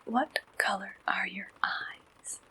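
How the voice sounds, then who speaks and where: Woman, ASMR roleplay, breathy whisper, crisp bright edge, gentle receptionist manner, close mic. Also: mid-range voice, rough recording. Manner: gentle, unhurried, reassuring intake questioning.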